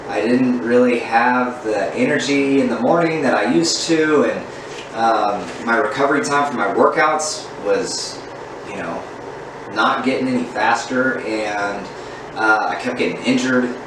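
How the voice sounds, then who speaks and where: Earnest man in a very echoey room. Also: mid-range voice. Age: 30s. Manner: earnest, candid, unhurried storytelling. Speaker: vlogger. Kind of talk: personal story vlog. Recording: very echoey room, distant mic, steady background hum.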